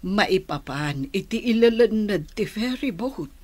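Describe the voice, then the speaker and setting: A woman's voice: medium pitch, expressive, a little slow, darkish tone. A woman playing a gossipy, concerned older village mother in Ilocano radio drama, with an Ilocano accent. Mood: worried, gossipy.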